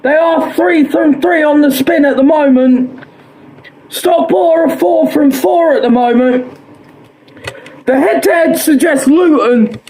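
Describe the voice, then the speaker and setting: A man with a hoarse, fading voice hosts his own show, predicting football scores in a chatty, repetitive way and filling pauses with "uh". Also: extremely high pitch, a little mellow, a little hiss or hum, low-quality recording. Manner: chatty, quotes odds, repeats himself, frequent "uh".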